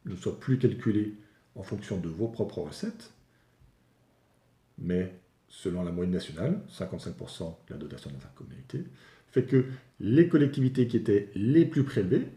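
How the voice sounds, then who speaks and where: Dark-voiced man explaining in an echoey room. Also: fairly low pitch. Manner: didactic, hesitant with frequent pauses, restating points in plainer terms. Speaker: local public finance analyst explaining a reform. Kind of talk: spoken explanatory analysis, semi-improvised presentation.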